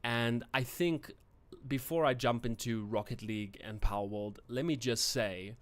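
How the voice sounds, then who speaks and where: A man's voice: mid-range voice, measured pace. A young adult man with a South African accent and Afrikaans as his first language, hosting a casual livestream and rambling between topics with fillers and self-corrections. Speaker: channel host.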